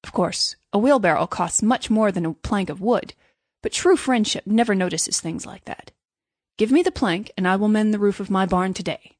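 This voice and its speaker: A woman's voice: mid-range voice, normal speed, a little mellow, no echo, lightly acted. Woman voicing a pompous, preachy storybook miller man, very dry sound. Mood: opportunistic. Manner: preachy, self-satisfied, emphatic. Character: pompous, self-righteous, greedy male miller, falsely generous. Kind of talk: storybook character voice.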